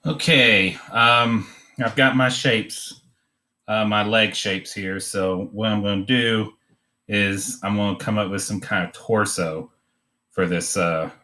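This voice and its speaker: A man giving an informal, unscripted drawing demonstration, thinking aloud in a halting way as he teaches. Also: lowish voice, fairly even intonation, pushed and bright.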